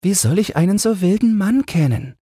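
An innocent little boy played by a grown man talks in a high, husky voice. He is impatient and childlike, in a fairy tale read aloud. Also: expressive, normal speed, warm tone, no room sound, clean studio sound.

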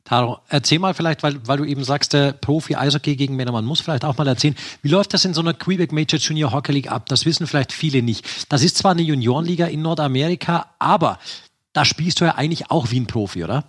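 A man's voice: on the high side, fast talker. A man co-hosting a sports talk show, asking questions in a casual, conversational style.

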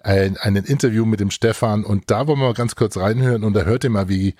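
Man, podcast co-host, lowish voice, casual chat, joking and teasing, dry sound. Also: quick pace, a little mellow, no room sound.

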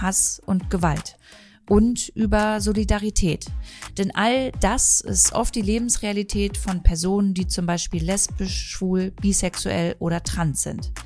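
A woman hosting a podcast interview, recorded indoors in a shop, asking her guests clear, personal questions. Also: mid-range voice, darkish tone. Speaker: podcast host.

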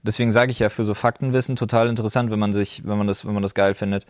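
A man's voice: fairly low pitch, fairly even intonation, very fast, dry recording, studio quality. A man co-hosting a casual podcast discussion, talking in a blunt, emphatic and colloquial way. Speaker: podcast co-host. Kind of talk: casual discussion among friends.

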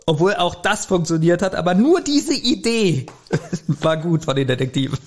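A man with a fairly high voice talks as a podcast co-host. His delivery is casual and conversational, like a review among friends, with frequent chuckles. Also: animated, normal speed, a little mellow. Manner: chuckles often while talking. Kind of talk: casual chat reviewing an audio drama.

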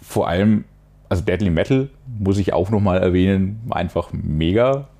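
A man's voice: deep voice, animated, moderate pace, vocal fry, warm tone. A man hosting a music podcast, giving casual reviews and introducing segments.

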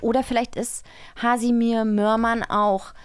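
A woman's voice: fairly high pitch, level tone, measured pace, smooth, no room sound, studio quality. A woman co-hosting a podcast in casual chat with a playful, whimsical manner. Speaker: podcast host.

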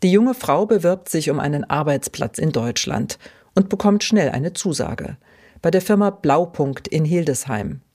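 A woman hosting a podcast, reading scripted narration in a clear, even way. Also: low voice, moderate pace. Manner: clear, even read-aloud delivery. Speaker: podcast host.

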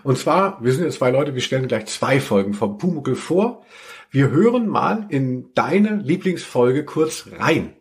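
A man hosting a casual podcast, thinking aloud in a halting, discursive way. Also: medium pitch, big pitch swings. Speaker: podcast host.

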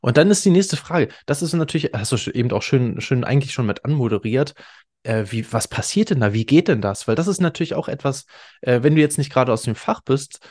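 A man hosting a casual interview, colloquial and quick to interject, talking informally to guest and listeners. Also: medium pitch, animated, a little mellow, dry recording, studio quality. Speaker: podcast host.

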